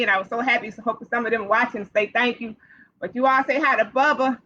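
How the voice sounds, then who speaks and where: A woman hosting storytime for young children, reading aloud in an animated, sing-song way and putting questions straight to the kids. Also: a little high. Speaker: library storytime host for children. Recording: dull, lo-fi livestream sound.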